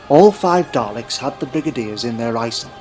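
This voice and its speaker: A man's voice: mid-range voice, lively intonation, normal speed. Male story narrator over music. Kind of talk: scripted dramatic narration.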